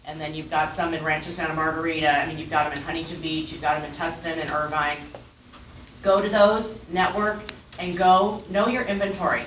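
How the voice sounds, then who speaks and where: A woman with a loud voice giving emphatic, energetic advice as a panelist. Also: deep voice, fairly quick, slight background noise. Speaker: real estate panelist. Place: meeting room. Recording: echoey room from a distance.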